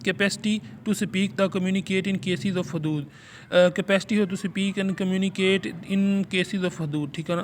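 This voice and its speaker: A man with a Pakistani accent lecturing informally as a teacher, moving between halting reading of notes and quicker explanation. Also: high voice, slight background noise. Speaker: law teacher. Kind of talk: informal exam lecture, explaining read-out English notes in Urdu.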